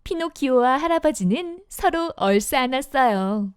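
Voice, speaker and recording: A woman narrating a scripted fairy tale for young children in an expressive storytelling manner. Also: high-pitched, a little slow, smooth, no echo, studio quality.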